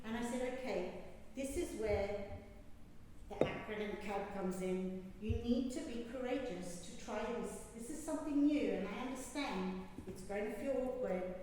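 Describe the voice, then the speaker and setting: A woman's voice: a little high, slight background noise. Woman coach speaking in an echoey hall. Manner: lively storytelling, acts out her own coaching instructions. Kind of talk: prepared speech to a club audience. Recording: echoey hall, distant mic, faint ambience.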